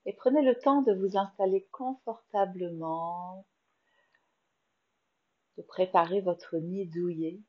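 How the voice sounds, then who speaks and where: Casting: French woman, meditation teacher, very slow calm delivery, slightly muffled lo-fi sound. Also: fairly low pitch. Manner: slow, soft, soothing guidance with long pauses. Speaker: yoga nidra teacher. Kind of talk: guided meditation.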